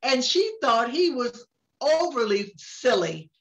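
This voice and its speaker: An older woman reading to children and chatting gently about a picture book, often laughing softly. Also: high-pitched, big pitch swings, slow pace. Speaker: storytime reader. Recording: dull lo-fi sound.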